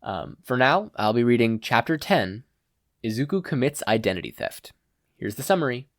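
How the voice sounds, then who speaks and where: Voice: medium pitch, big pitch swings, normal speed, no room sound. Podfic reader with a dry, close sound. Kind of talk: scripted narration of fan fiction.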